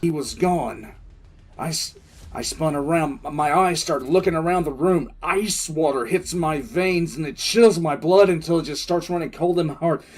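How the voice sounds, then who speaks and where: Intense, high-pitched military commissar. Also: male voice, a little mellow, slightly rough. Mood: tense, stunned. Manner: halting, intense, repeats words. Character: male military commissar, wounded, frantic, bitter survivor recounting disaster. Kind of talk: first-person dramatic audio drama narration.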